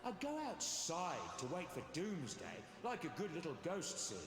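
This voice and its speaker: An actor playing a wry young blacksmith back among the ghosts, with a theatrical, aggrieved way of talking. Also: male voice, on the high side, big pitch swings, normal speed. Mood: bitter, sardonic. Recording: reverberant game audio with music behind.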